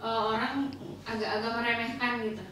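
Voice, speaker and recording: A woman with a thin, shrill voice, hesitantly asking a casual question as an audience member. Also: flat delivery, a little fast, bright, forceful tone. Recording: echoey, off-mic, people around.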